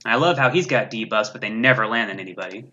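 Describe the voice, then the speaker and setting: A man's voice: fairly low pitch, quick pace, pushed and bright. A man chatting casually as a guest on a gaming livestream, talkative and opinionated with a sarcastic streak. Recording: slightly dull, lo-fi stream audio.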